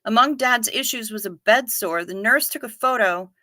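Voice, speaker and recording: A woman's voice: a little high, normal speed, sharp tone, dry recording, clean studio sound. A woman in her fifties hosting a casual livestream, reading chat comments aloud in a chatty, wry way with casual swearing. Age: 50s. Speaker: livestream host.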